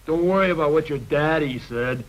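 A man's voice: a little high, normal speed, natural voice. A man voicing a mean, bossy, scheming monster puppet in a children's educational show, dismissive and goading. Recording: noisy old 1960s film soundtrack.

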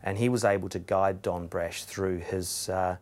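A man's voice: low voice, steady tone, measured pace, darkish tone, a little hiss or hum. A man in his thirties, a political journalist, explaining events frankly in a documentary interview in his office. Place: cluttered office, indoors.

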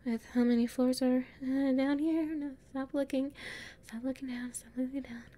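A woman acting a weary, anxious human exploring a castle in an audio roleplay, breathy and hesitant, muttering to herself. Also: high-pitched, fairly even intonation, normal speed, mellow, lightly acted. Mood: nervous.

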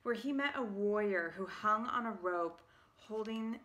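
Female storybook reader, forties, bright and theatrical, slow delivery, some room noise on a phone. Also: mid-range voice. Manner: theatrical, emphatic. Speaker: parent reading a picture book aloud. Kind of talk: expressive storybook narration. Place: carpeted home room with sloped ceiling. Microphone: phone at arm's length.

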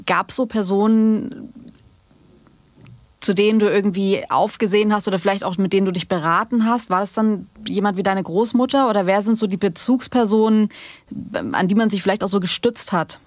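A woman's voice: mid-range voice, fairly quick, dry recording. A woman interviewing in a casual, colloquial and inquisitive way, as a podcast host.

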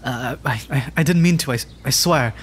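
A man's voice: mid-range voice, moderate pace, slight background noise, lightly acted. A man voicing a timid, adorkable young dragon in a roleplay audio drama, stammering, hesitant and rambling. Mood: flustered, apologetic.

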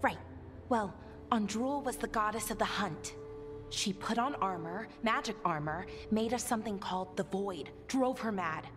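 A woman's voice: medium pitch, expressive, moderate pace, lightly acted. Matter-of-fact lore-telling woman acted, faint music. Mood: matter-of-fact, thoughtful. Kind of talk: conversational video game cutscene dialogue. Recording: faint music under dialogue.

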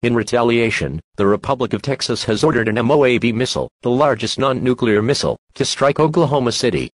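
A man's voice: medium pitch, expressive, quick pace, dry recording. A man reading a scripted emergency broadcast announcement in a formal, impersonal manner.

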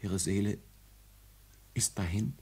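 A man's voice: on the low side, slow pace, dark tone. An actor playing an anguished, bitterly vengeful young nobleman in a 1950s German radio play, with theatrical declamation. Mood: grave, broken.

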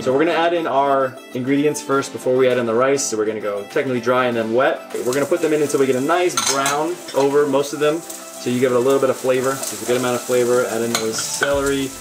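Deadpan man hosting a cooking demo. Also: mid-range voice. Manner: earnest instructional patter with deadpan jokes. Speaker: cooking show host. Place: home kitchen. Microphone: lapel mic. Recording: music playing underneath.

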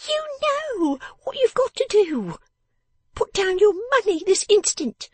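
Shrill, bossy little scold. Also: female voice, sing-song, slow, dry recording, heavily acted. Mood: snapping, scolding. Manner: emphatic, snapping, imperious. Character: sharp-tongued, bossy, vengeful young woman, scolding like a shrew. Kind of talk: dramatised storybook reading.

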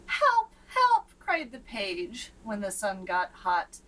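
A young adult woman reading a children's storybook aloud in a theatrical, expressive way. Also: medium pitch, slow, distant mic, rough recording.

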